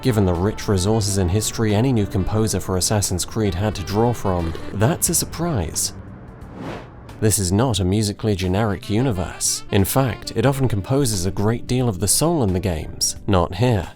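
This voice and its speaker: A man reading a scripted critique as a guest narrator, in a measured, critical manner. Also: lowish voice, darkish tone, soft background music. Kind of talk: scripted video essay narration.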